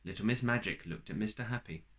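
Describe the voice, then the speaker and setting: A man reading a children's picture book aloud in a slow, playful storytime manner, adding little asides to listeners. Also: on the low side.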